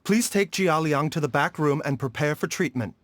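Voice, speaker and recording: A man playing a gentle, courteous doctor of herbal medicine in a video game, refined and polite in manner. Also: fairly high pitch, moderate pace, slight background noise, close to an everyday voice. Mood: calm, reassuring. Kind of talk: video game character acting.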